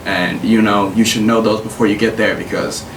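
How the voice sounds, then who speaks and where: A man's voice: fairly low pitch, fairly even intonation, moderate pace. A young man hosting a podcast, giving casual, rambling advice with lots of fillers. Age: young adult. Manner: laid-back, rambling advice with many fillers. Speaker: podcast host. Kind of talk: casual chat. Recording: roomy sound from a distant mic with faint hiss.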